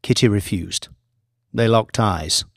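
A man reading a scripted audiobook story in a measured, deliberate storytelling manner. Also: lowish voice, gravelly, no room sound, studio quality. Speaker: audiobook narrator, author reading own book.

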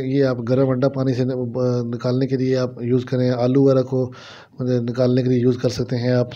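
A man's voice: mid-range voice, mellow. A man with a Pakistani accent narrates a casual shopping vlog, explaining things repetitively to viewers into a handheld phone in a small shop. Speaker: vlogger showing shop goods. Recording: dull, lo-fi phone camera audio.

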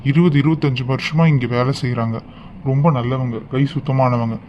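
A man's voice: mid-range voice, moderate pace, close to an everyday voice. A young man acting a stern, disappointed husband who reproves his wife in a family melodrama. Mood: stern, reproving. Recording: dull sound with faint background noise.

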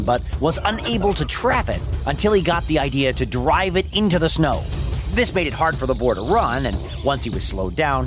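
A man tells a children's tale in a fairly high voice that swings widely up and down. He is playful and wry, leaning into dramatic emphasis, with music clearly playing behind him. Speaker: podcast narrator and host. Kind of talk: animated storytelling narration of Greek myths for children.

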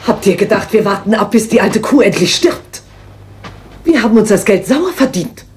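An actress playing a bitter, callous murder accomplice, scornful and defiant in a radio crime drama. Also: female voice, medium pitch, animated, normal speed, a little mellow, close to an everyday voice.

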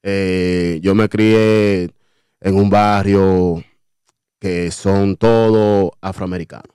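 Dominican male guest, 30s, smooth mid voice, humble and halting, dry booth mic. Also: low-pitched, no room sound. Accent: Dominican Spanish. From Dominican Republic. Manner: humble, relaxed, many fillers and restarts. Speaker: guest artist. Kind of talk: casual interview chat. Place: radio studio booth. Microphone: desk mic with headphones.

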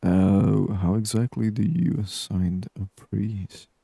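Soft-spoken man, very dark low voice. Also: fairly even intonation, takes it slowly, vocal fry, breathy edge, no echo, studio quality. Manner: murmuring, musing aloud to himself. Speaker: gaming channel host. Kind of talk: soft-spoken ASMR gaming commentary. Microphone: close microphone, dry.

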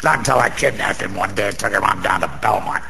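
An actor playing a boozy, sentimental, boastful small-time gambler in a radio drama, rambling in old-fashioned street slang with a gravelly voice. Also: male voice, fairly low pitch, fast talker, pushed and bright, exaggerated voice. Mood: boastful, fond. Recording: faint background noise.

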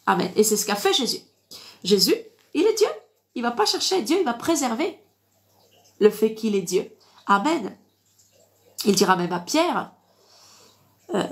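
A woman with a congested, blocked-nose voice giving informal Bible teaching on a livestream, didactic and repetitive, punctuating points with amens. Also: medium pitch. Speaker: online preacher. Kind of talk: informal live Bible teaching with verse reading.

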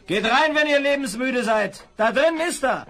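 A jeering saloon loudmouth. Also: male voice, extremely high pitch, animated, normal speed, slight background noise, exaggerated voice. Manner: scornful, jeering. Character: mocking old male saloon loudmouth. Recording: vinyl record with crowd behind.

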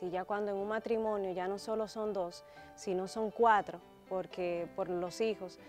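A Dominican woman in her thirties tells her story to camera in a calm, measured way. Her voice is mid-pitched and steady. Soft music plays faintly behind her. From Dominican Republic. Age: 30s. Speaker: guest. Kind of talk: personal testimony to camera. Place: TV studio, dark backdrop. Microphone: lapel mic.

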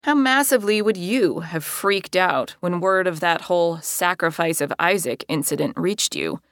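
A woman's voice: lowish voice, normal speed, fairly bright tone, no echo, clean studio sound. A woman narrating her own nonfiction audiobook in a wry, conversational way.

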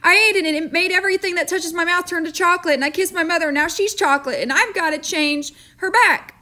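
A woman's voice: quick pace, bright, forceful tone. Woman playing a frantic pleading boy. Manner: pleading, breathless, high-strung. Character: frantic desperate young boy.

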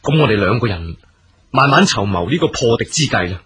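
Husky, grave army commander. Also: male voice, medium pitch, lively intonation, fairly bright tone, faint music behind. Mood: confiding, earnest. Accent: Hong Kong Cantonese. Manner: measured, formal, weighty. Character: grave, deliberate army commander in ancient China. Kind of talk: theatrical radio drama acting.

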